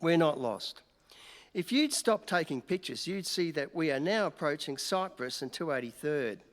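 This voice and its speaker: Man acting high-voiced tipsy reporter, hall echo. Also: animated, normal speed, close to an everyday voice. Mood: tipsy, bossy. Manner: mock-grand, theatrical. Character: tipsy, sardonic male newspaper reporter, mock-dutiful. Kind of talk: comic stage radio-play acting. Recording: reverberant hall.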